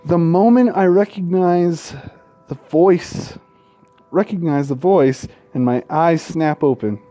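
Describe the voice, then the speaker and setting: A man's voice: fairly high pitch, takes it slowly, dark tone, no room sound. A man reading a visual novel aloud as a gaming host, tripping over words, correcting himself and dropping casual asides and swearing. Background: faint game music.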